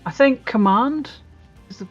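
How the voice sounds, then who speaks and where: A woman's voice: on the high side, measured pace. A woman chatting casually as a player in a tabletop role-playing game. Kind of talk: casual chat. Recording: muffled lo-fi audio with music behind. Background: background music.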